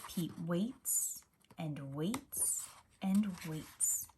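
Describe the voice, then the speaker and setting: Female preschool storyteller, unhurried, very animated pitch, slight background noise. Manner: slow, expressive, simple deliberate phrasing. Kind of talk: read-aloud picture book narration for young children.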